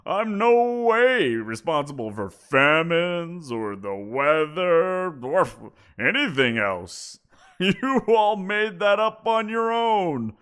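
Man voicing a giant wolf creature, teasing, amused, chuckling, audio roleplay, slow, lively pitch. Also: smooth, full character voice. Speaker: voice actor.

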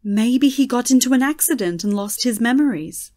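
A woman playing a gentle, knowing fortune teller in an audio drama. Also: fairly high pitch, lively intonation, moderate pace, natural voice. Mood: protective.

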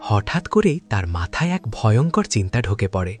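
A man's voice: lowish voice, very animated, brisk, soft background music. A man narrating a cartoon folk tale. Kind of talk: scripted storybook narration.

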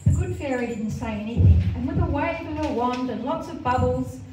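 A woman's voice: sits high, fairly quick. An older woman reading scripted narration for a live amateur pantomime. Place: care home common room, indoors, before an audience. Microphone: handheld microphone, captured by far camera. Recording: echoey hall, distant microphone, loud room noise.

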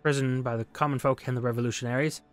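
A man's voice: mid-range voice, fairly even intonation, fast, faint music behind. A man hosting a game playthrough, reading text aloud and commenting in an articulate, dryly understated way. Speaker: gaming video host.

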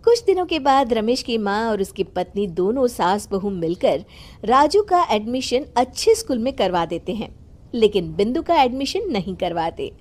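A woman narrating a moral cartoon story in a plain, even storytelling manner. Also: on the high side, moderate pace.

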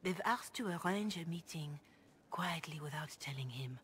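A woman voicing a devout, compassionate older cleric in a video game, speaking in a formal, measured, earnest way. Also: low-pitched, animated, a bit bright. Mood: grave, cautious. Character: grave, devout, compassionate older Chantry mother. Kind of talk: video game dialogue acting. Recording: clear background noise and ambience.

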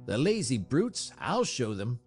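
A man's voice: medium pitch, wildly swooping pitch, slow pace, soft background music, voice pushed up. A man voicing an arrogant, impatient young man in an audiobook, curt, sneering and insistent. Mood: angry.

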